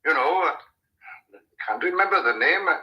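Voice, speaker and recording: An actor playing a nosy, homespun farmhand in a radio drama, folksy and philosophical. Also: male voice, a little high, expressive, normal speed, muffled recording, close to an everyday voice. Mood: inquisitive.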